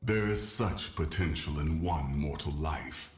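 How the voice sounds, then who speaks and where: A man's voice: extremely low pitch, measured pace. A man voicing an ancient, wise warrior god in a video game, solemn, ponderous and menacing. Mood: menacing, disdainful. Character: Nasus, ancient wise patient jackal-headed warrior god. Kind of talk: video game character voicelines. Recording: echoing game audio over music.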